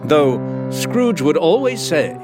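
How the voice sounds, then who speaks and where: An actor playing a genial storyteller, narrating a Christmas ghost tale in a confiding, dramatic manner. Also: male voice, on the high side, big pitch swings, takes it slowly, music in the background.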